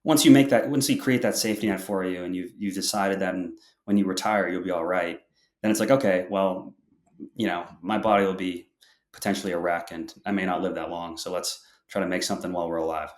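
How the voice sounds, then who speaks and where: Deep-voiced young man in a roomy space. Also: fairly even intonation, brisk. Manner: explanatory, with frequent restarts. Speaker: podcast guest. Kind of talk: interview answers.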